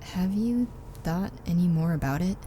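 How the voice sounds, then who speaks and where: A woman's voice: on the low side, animated, normal speed, dark tone, a little hiss or hum, natural voice. A woman playing an exasperated, sardonic young woman in a naturalistic radio drama. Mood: dry, skeptical. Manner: sarcastic, questioning.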